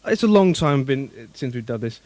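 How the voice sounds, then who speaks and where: A man's voice: medium pitch, big pitch swings, moderate pace, a little mellow, no room sound. A man hosting a radio show, talking casually and loosely to listeners in an opening link.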